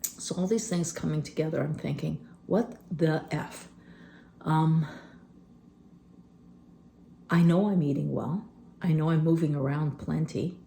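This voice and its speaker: Phlegmy woman in her fifties, low and gravelly. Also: unhurried, pauses often, dark tone, a little hiss or hum. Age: 50s. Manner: rambling, candid, many fillers. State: phlegmy, clearing her throat. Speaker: vlogger. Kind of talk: unscripted personal vlog. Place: rural homestead.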